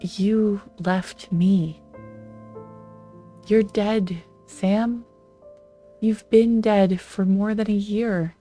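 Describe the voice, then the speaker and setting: A woman's voice: mid-range voice, steady tone, drawn-out words, pauses often, dark tone, soft background music, pitched above the performer's own voice. A woman voicing a grieving young wife, tearful and pleading behind a closed door.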